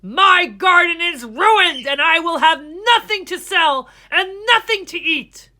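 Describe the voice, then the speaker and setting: Woman acting out a loud, furious storybook farmer, pitched high. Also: expressive, heavily acted. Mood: angry, distraught. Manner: loud, indignant, drawn-out. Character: furious, loud farmer lamenting his ruined garden, man.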